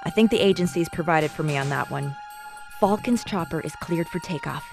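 A woman's voice: low voice, a little fast, gravelly. A woman playing a determined ex-agency operative in a video game, speaking in a terse, no-nonsense way. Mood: focused, businesslike. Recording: music behind the voice.

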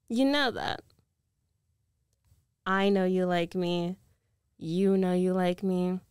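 A woman's voice: lowish voice, a little mellow, smooth, no echo, clean studio sound. A woman playing a confident, possessive rich ex-girlfriend in an ASMR roleplay, coaxing and teasing in a self-assured way.

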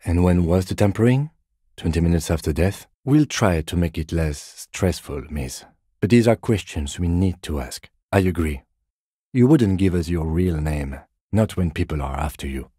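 A methodical, patient police detective in a video game. His voice is extremely deep and mellow, with a husky grain. He speaks in a measured, restrained way, dry and deadpan. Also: no echo. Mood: calm, probing. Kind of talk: scripted game dialogue.

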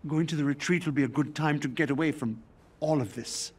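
A man playing a gentle, hesitant middle-aged father coaxing his child in a video game. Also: on the high side, brisk, breathy edge. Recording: faint ambient room noise.

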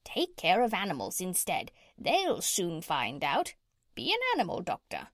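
A woman's voice: lowish voice, huge pitch swings, a little slow, a bit bright, dry recording, clean studio sound, exaggerated voice. A woman voicing a proud, bossy female parrot in a children's storybook, speaking in an emphatic, instructive way. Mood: insistent. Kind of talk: children's storybook character reading.

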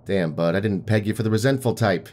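An actor playing a curt, cocky, needling boss in an audio drama, talking in a sardonic, dismissive, slangy way. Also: male voice, lowish voice, quick pace, darkish tone, close to an everyday voice.

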